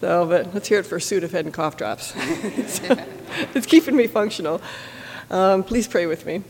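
An adult with a stuffy cold, reading scripture in a church in a steady, measured way. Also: mid-range voice, crowd noise. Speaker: scripture reader. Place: church sanctuary. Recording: echoey church from a distance.

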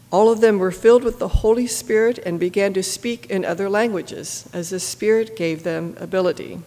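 An adult with a stuffy cold, reading scripture in a church in a steady, measured way. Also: mid-range voice. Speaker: scripture reader. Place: church sanctuary. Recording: echoey church from a distance.

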